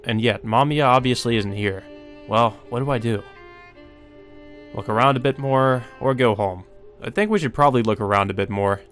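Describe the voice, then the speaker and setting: A man's voice: medium pitch, moderate pace, fairly bright tone, faint music behind. A man narrating a visual novel aloud as a let's play host, with brief asides of his own.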